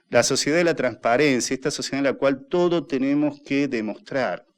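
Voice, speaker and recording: An Argentine man speaks on a radio discussion show, with a middle-pitched voice and a lecturing tone. He builds his point slowly, one step after another. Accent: Argentine Spanish, voseo. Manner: lecturing, explains arguments step by step.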